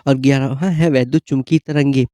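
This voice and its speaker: A man gives an informal explanatory exam-prep lesson, often pausing to check that listeners follow. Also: mid-range voice, steady tone, dark tone, no echo, studio quality. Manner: repeated check-in tags like "okay?".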